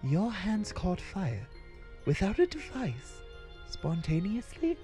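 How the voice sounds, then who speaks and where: A man acts out a worried father in a fantasy story. He asks urgently and haltingly in a raised pitch, over music. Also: wildly swooping pitch, mellow, heavily acted. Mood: astonished, worried. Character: worried, questioning father of a young fire mage. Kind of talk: dramatized fantasy story reading.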